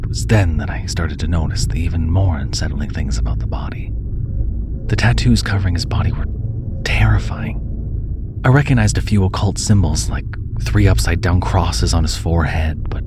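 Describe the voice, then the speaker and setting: A man's voice: low-pitched, normal speed, noisy background. A man reading a scripted first-person horror story in a theatrical, dramatic way. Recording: music bed under the voice. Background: background music.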